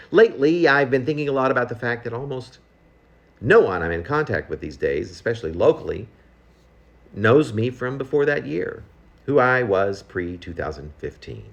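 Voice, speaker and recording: A man reading his own confessional poem aloud in a conversational, reflective and self-questioning manner. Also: medium pitch. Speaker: poet reading own work.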